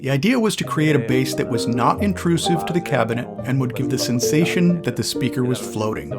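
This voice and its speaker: A man reading scripted promotional narration as a company representative presenting loudspeakers. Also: medium pitch, expressive, brisk. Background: background music with guitar.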